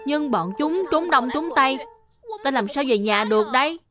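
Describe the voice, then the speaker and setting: Cartoon schoolboy: high-pitched, bright, earnest, excitable. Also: female voice, normal speed. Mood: urgent. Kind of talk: dubbed children's cartoon acting. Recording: music behind the voice.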